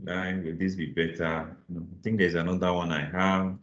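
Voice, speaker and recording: Low-voiced man teaching an online class. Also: little pitch movement, normal speed. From Nigeria. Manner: patient, encouraging, reads definitions aloud. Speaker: financial modeling instructor. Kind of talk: live online class, explaining and inviting answers. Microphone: video call. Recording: roomy, slightly muffled call audio.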